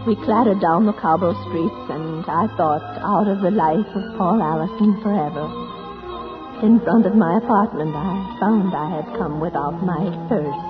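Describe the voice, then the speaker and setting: A woman's voice: on the low side, measured pace, lightly acted. A woman playing a wistful old lady narrating her memories in a 1940s radio drama. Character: old woman singer reminiscing, wistful storyteller. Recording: muffled 1940s radio, music behind.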